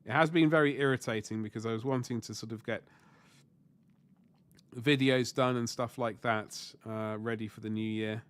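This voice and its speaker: A man's voice: mid-range voice, fairly even intonation, normal speed, fairly bright tone, clean tone. A man with a stuffy nose and sore throat from flu, chatting casually with viewers while he paints miniatures, rambling and correcting himself. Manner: rambling, self-correcting, mild swearing. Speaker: livestream host painting miniatures. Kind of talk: casual chat answering viewer comments.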